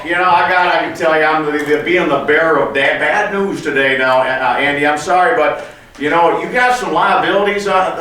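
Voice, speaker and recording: A man playing a loud, excitable, folksy cowboy accountant in a comedy skit, drawling with broken grammar and eager to be bought. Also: a little high, pitched above the performer's own voice. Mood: apologetic, firm. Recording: echoey room, distant mic, background noise.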